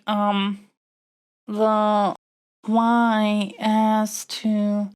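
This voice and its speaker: A woman's voice: mid-range voice, darkish tone. A woman playing an earnest, flustered young princess in an intimate audio roleplay, stammering and hesitating as she pleads. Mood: flustered, hesitant. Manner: halting, hesitant, stammering delivery. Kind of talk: audio roleplay acting, intimate monologue to the listener.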